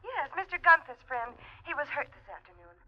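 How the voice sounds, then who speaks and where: An actress playing a businesslike, insistent doctor's secretary heard as a telephone voice in a 1940s-style radio drama. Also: female voice, sits high, big pitch swings, pushed and bright, heavily acted. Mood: urgent. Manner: brisk, telephone-polite, insistent. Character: businesslike doctor's office secretary with urgent news. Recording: dull, dry old radio recording.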